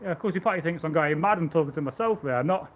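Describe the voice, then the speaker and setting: A man live-streaming as a Viking reenactor, chatting loosely and stammering through restarts with a loud voice, outdoors at home. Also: on the high side, racing, phone-quality sound. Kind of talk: casual live stream chat and demonstration.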